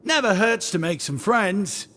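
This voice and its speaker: Animated, affable young game shopkeeper. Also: male voice, high voice, a little slow, in a large hall, full character voice. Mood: friendly, generous. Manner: effusive, breezy, self-deprecating. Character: affable, chatty, flattering male stand-in shopkeeper. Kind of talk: video game voice acting.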